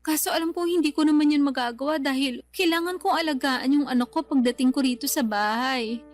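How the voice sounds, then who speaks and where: Woman acting plaintive, high-voiced young single mother. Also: fairly quick, natural voice. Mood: weary, plaintive. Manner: plaintive, complaining. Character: worn-out, bitter young single mother and office worker. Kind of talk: Tagalog radio drama acting.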